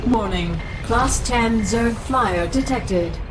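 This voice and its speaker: A woman voicing a calm computer announcer in a video game, issuing clipped, impersonal alerts. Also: a little high, takes it slowly, heavy background noise.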